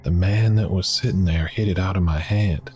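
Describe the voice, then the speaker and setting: A man's voice: low voice, fairly even intonation, normal speed, a little mellow, a little hiss or hum, pitched above the performer's own voice, natural voice. A man voicing a friendly, chatty diner waitress with a delicate Southern accent who calls customers hon and sweetie. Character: friendly middle-aged female diner waitress.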